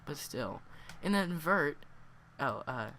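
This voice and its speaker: A man with a cold, sniffling and hoarse, reviewing a story in a casual, rambling way full of fillers. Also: on the high side, big pitch swings, takes it slowly, pushed and bright, slight background noise. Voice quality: hoarse, worn voice.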